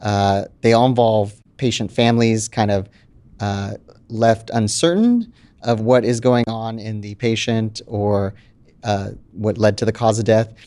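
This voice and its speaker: Male physician interviewee, slightly low voice, unscripted explanatory answers. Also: unhurried, a little mellow, clear, resonant tone. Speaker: physician interviewee, committee chair.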